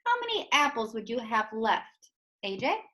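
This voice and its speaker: Man putting on an airy, high-voiced ditzy lady teacher. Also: wildly swooping pitch, normal speed, bright, forceful tone, heavily acted. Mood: quizzing. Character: ditzy, easygoing woman schoolteacher who hates school. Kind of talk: children's storybook read-aloud.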